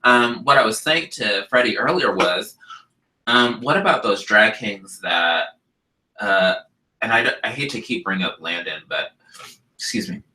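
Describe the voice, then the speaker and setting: Brief: male co-host, very bright and sharp tone, lively pitch, a little nasal and stuffed up, recorded in a roomy space. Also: measured pace, a little hiss or hum. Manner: animated, chatty, interjecting. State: congested, slightly nasal from illness. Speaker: co-host, drag performer. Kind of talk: casual chat. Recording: roomy echo.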